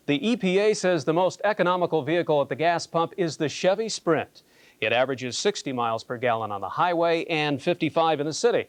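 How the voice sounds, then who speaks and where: A man anchoring a local evening newscast with a smooth, polished delivery. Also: fairly high pitch, no echo. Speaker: news anchor. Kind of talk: news anchoring.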